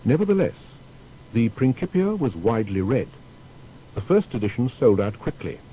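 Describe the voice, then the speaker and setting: A man's voice: mid-range voice, normal speed, poor audio. A man narrating a scripted historical biography in a formal, storytelling manner. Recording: steady faint background noise.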